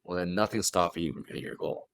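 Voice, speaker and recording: A man's voice: low voice, steady tone, quick pace, fairly bright tone, smooth, no echo. A young adult man from Hong Kong, a fitness coach guest talking casually on a podcast and often checking in with "right?".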